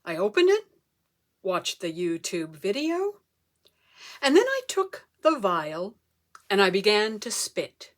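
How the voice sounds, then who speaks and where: A woman performing a comic story as a speech, theatrical, with dramatic pauses and emphasis. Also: medium pitch, wildly swooping pitch. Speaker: club speaker telling a humorous tall tale.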